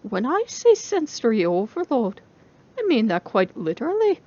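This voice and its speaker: Woman reading a novel as an intense lady professor, teachy and emphatic, highish voice, very lively, slightly muffled. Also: normal speed. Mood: instructive. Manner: didactic, emphatic lecturing. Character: female robotics professor lecturing her class, intense, brisk.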